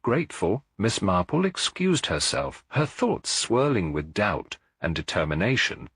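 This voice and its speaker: A male narrator reads a scripted mystery story in a low voice with a husky grain. His pitch moves expressively, and the sound is dry and close. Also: huge pitch swings, a little slow, fairly bright tone, dry recording.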